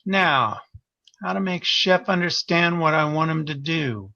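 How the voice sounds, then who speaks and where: A man's voice: fairly high pitch, expressive, unhurried, bright, forceful tone, no room sound, highs cut off, exaggerated voice. A man playing a flustered, self-important and oblivious interviewer in a comic audio drama, fussy and earnest in delivery. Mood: earnest narrating.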